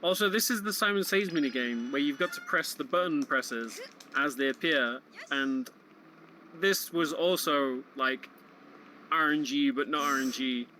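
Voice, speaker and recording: A man's voice: medium pitch, bright, forceful tone, a little hiss or hum, rough recording. A man giving casual commentary on a video game run. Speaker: speedrun event commentator.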